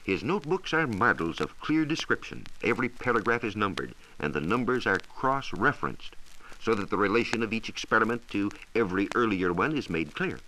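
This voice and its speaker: A low-pitched American man speaks in a bright, forceful voice with lively swings of intonation. He delivers scripted educational narration in the manner of a 1940s radio science reporter, phrasing each point emphatically. The recording is an old, hissy broadcast transcription. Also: a little fast, low-quality recording.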